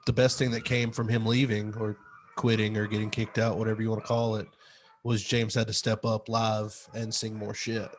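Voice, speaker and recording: A man's voice: lowish voice, slight background noise. A man co-hosting a podcast, chatting casually with some swearing. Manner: casual, profane. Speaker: podcast host.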